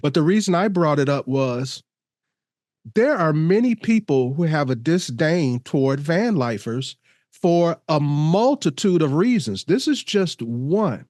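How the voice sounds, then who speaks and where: A man's voice: a little high, expressive, unhurried, a little mellow, dry recording, studio quality. Congested man co-hosting a podcast. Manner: reads an article aloud, laughs often. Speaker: podcast co-host. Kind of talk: casual podcast chat over video call.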